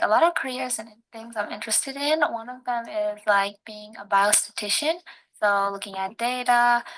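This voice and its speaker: A young woman with a quiet voice, talking casually about herself in a hesitant, slightly awkward way. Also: a little high, gravelly, poor audio. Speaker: student participant. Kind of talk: casual personal talk.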